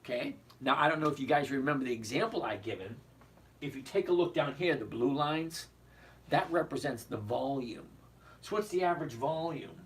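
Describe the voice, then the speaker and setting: A man hosting a casual stock-trading livestream, his voice hoarse and cracking as he chats with viewers and keeps asking "okay?". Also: mid-range voice, animated, brisk. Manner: chatty, repeats "okay?", answers viewers. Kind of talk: casual livestream market commentary. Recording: distant mic with faint background noise.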